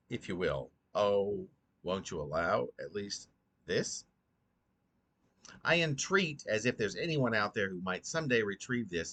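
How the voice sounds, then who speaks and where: A man reading his own poem aloud in an earnest, rhetorical, dramatic recitation with a halting delivery. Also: medium pitch, a bit bright.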